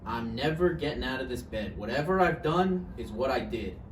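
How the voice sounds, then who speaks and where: A man's voice: medium pitch, expressive, moderate pace, lightly acted. Guy acting a wry gloomy old dying writer, voice swings up and down, play table read, echoey and noisy. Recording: echoey noisy room, far from mic.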